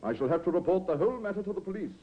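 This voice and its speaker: Unyielding, formal physician; husky, very varied intonation, tinny vintage radio sound. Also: male voice, high-pitched, expressive, very fast. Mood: firm, offended. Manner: formal, precise, firm. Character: stiff, principled, unyielding male doctor. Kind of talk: 1950s radio acting. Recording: thin, tinny old radio recording.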